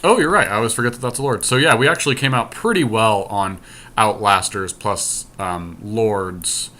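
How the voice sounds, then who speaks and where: A man's voice: lowish voice, normal speed, sharp tone. A man co-hosting a podcast with casual, unscripted commentary. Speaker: podcast co-host.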